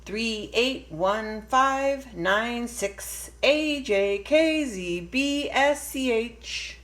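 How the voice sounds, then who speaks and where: A jealous little mouse big sister from a storybook, acted out by a woman. She speaks slowly and emphatically, full of bossy indignation. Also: on the high side, animated, fairly bright tone, voice pushed up, heavily acted. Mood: defiant chanting.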